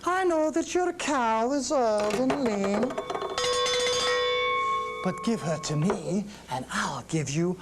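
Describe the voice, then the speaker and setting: A woman's voice: mid-range voice, expressive, takes it slowly, pauses often, heavy background noise, voice pushed up. A woman voicing a strange, sly little man who trades in magic, in a children's storybook with music behind. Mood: coaxing, mysterious.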